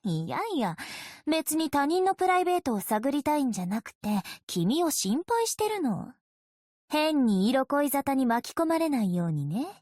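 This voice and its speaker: High-voiced, teasing vampire girl. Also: sing-song, normal speed, a little mellow, no room sound, clean studio sound. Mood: coy, teasing. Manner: playful, coy, casually threatening. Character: teasing, sinister young female vampire, sweetly menacing. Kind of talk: game character voice lines in Japanese anime acting.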